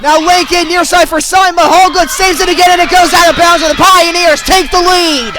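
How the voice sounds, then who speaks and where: Young guy doing live sports play-by-play, really high voice, punchy short phrases. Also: normal speed, crowd noise. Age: young adult. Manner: excitable, punchy delivery in short clipped phrases. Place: at sports venues, live during games.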